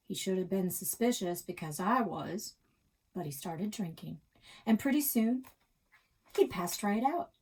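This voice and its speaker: A woman reading a story aloud in an expressive, dramatic storytelling style with emphatic pauses. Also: medium pitch, breathy edge. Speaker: librarian reading a children's novel aloud.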